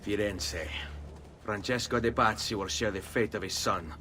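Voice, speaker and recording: A man's voice: fairly low pitch, normal speed, a little hiss or hum. A man with an Italian accent playing a proud, vengeful young nobleman turned assassin in a video game. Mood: grim, resolved. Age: young adult. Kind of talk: video game cutscene acting. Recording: loud game music behind.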